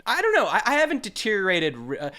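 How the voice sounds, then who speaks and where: A man in his forties hosting a podcast with casual, joking, animated chat. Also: high-pitched, quick pace. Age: 40s. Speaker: podcast host.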